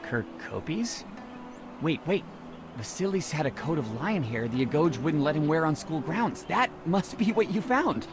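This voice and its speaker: An actor playing an eager, sentimental young Spartan friend, excitable and earnest, in a video game drama. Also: male voice, a little high, a little slow, music in the background.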